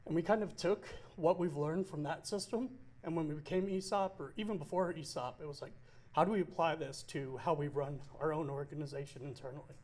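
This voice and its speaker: A man speaks on a conference panel. His voice sits a bit high, and he explains in a steady, matter-of-fact way. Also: a little fast.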